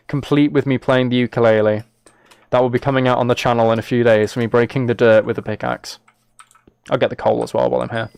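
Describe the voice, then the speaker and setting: Clear-voiced young British man, casual streamer. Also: mid-range voice, darkish tone, no room sound. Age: late teens to early 20s. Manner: playful mock-exasperation, wry sarcasm. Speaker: live streamer. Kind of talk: casual gaming livestream chat, commentary.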